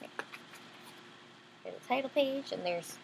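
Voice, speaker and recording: A woman's voice: high voice, huge pitch swings, measured pace, creaky, background noise. A woman teacher doing a storybook read-aloud for young children, explaining things simply and checking in with questions.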